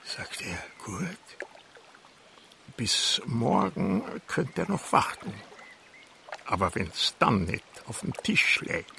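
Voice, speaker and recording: Old Bavarian farmer, slow and creaky. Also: male voice, mid-range voice, very animated, sharp tone, slight background noise, heavily acted. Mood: weary, halting. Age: older. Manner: halting, plain-spoken storytelling. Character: old Bavarian farmer, plainly confessing a killing. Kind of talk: radio drama acting.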